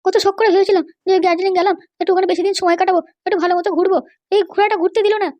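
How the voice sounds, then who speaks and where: A woman voicing a sulky young cartoon wife who accuses her in-laws, complaining in a pitched-up voice. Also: fairly even intonation, racing, darkish tone, no room sound. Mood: indignant. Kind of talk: comedy cartoon dialogue.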